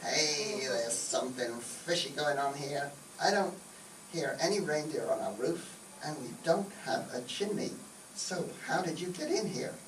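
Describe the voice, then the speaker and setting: A man's voice: a little high, lively intonation, unhurried, fairly bright tone, slight background noise. Man playing a tough little undercover cop, echoey. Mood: suspicious. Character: tough pint-sized undercover cop, suspicious and proud. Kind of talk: comic radio skit acting. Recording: echoey, distant stage recording.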